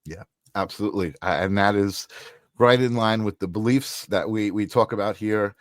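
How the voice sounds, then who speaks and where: Deep-voiced man hosting a podcast. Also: moderate pace, no echo, clean studio sound. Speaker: podcast host. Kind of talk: podcast interview, asking questions.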